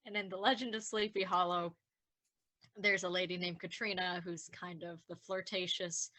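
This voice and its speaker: A woman's voice: on the low side, flat delivery, normal speed, bright, forceful tone. A young woman chatting casually and self-deprecatingly as a podcast writer in a group discussion. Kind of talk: casual post-show group chat.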